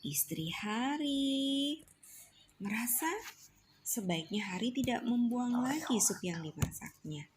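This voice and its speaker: A woman's voice: on the high side, expressive, takes it slowly, a little hiss or hum. A young woman at home, reading a storybook aloud to her child, often whispering and asking the child questions.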